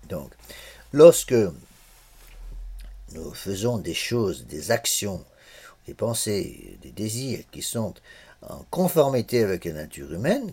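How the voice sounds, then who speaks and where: An older man in his sixties lectures a class on religious morals. His husky voice swings widely in pitch. He often stalls and repeats small words several times before pressing on. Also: a little mellow. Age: 60s.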